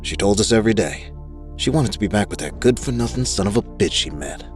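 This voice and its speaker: A man's voice: fairly low pitch, animated, a little fast, close to an everyday voice. A man playing a bitter, exasperated middle-aged father of a runaway in an audio drama. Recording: music under the dialogue.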